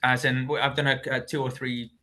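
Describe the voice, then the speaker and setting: A man with a bright, forceful voice talks over a call. He speaks earnestly and thoughtfully as an interview guest. He often repeats and restarts words as he goes. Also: medium pitch, flat delivery, a little fast. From Liverpool, England. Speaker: stage actor, interview guest. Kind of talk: conversational interview.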